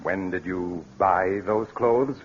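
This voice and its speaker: An actor playing a scheming, controlling blackmailer in vintage radio drama, falsely polite and commanding when crossed. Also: male voice, deep voice, slow pace. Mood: stern anger. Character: smooth, scheming male blackmailer with a controlling temper. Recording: muffled, noisy 1940s-50s radio recording.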